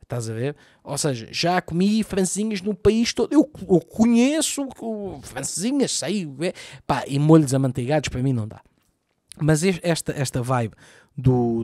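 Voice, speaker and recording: A Portuguese man with a Lisbon accent rants through a solo podcast, swearing freely and throwing his arms around as he talks. His voice sits a bit high for a man and comes across close and dry, recorded on a boom mic right at his mouth in a small home room. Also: moderate pace, no room sound. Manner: profane, ranting, animated with big arm gestures. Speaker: comedian podcast host. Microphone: boom mic close to mouth, wearing headphones.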